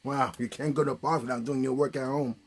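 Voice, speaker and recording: A man's voice: mid-range voice, steady tone, quick pace, breathy edge. A man hosting a puppet show, chatting casually and encouragingly and prompting with questions. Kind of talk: casual, unscripted chat.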